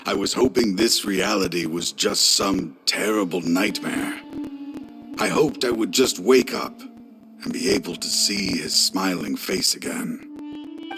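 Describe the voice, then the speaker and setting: An actor voicing a grieving older scholar father in a video game, solemn and measured. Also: sits low, pushed and bright. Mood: sorrowful.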